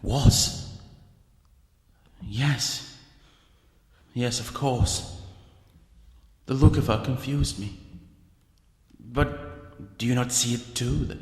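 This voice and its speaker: An actor playing a tormented, half-mad aristocrat in a radio drama, theatrical and feverish, swinging between hushed and frantic delivery. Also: male voice, medium pitch, slightly rough, heavily acted. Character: tormented, half-mad male aristocrat, last of a cursed line. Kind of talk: melodramatic radio drama acting.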